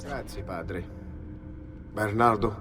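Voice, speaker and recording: A man's voice: lowish voice, takes it slowly, background noise. An actor playing an arrogant, scornful Italian nobleman conspirator with an Italian accent in a video game. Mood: businesslike. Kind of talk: video game character acting. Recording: long echoing reverb of a hall.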